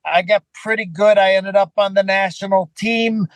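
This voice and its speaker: A man from Sweden whose first language is Swedish speaks as an interview guest. His voice sits high for a man, in a dry recording with no room sound. He tells stories in a casual, conversational way. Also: fairly even intonation, normal speed, dry recording. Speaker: podcast guest, filmmaker and actor.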